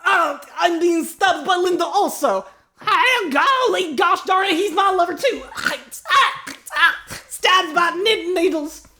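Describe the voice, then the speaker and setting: A man voicing melodramatic jealous lovers, shrill and overwrought, in an improvised comedy radio drama. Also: lively intonation, takes it slowly, bright, forceful tone, full character voice. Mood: indignant, pained. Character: melodramatic jealous lovers wailing as they get stabbed.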